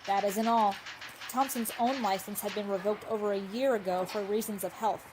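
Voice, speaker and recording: An actress playing a brisk, no-nonsense newspaper colleague in a radio drama, businesslike and pressing. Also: female voice, medium pitch, steady tone, darkish tone, lightly acted, low-quality recording. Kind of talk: old-time radio drama acting. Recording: background noise, home recording.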